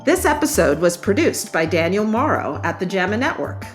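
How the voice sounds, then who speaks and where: A woman hosting a professional interview podcast, speaking in an articulate, measured way. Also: mid-range voice, big pitch swings, faint music behind. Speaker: podcast host, journal editor.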